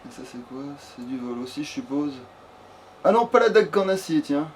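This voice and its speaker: Higher-voiced man swearing through game commentary. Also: very animated, fairly quick, darkish tone, slight background noise, poor audio. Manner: sweary, rambling. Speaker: let's player. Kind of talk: casual gaming commentary.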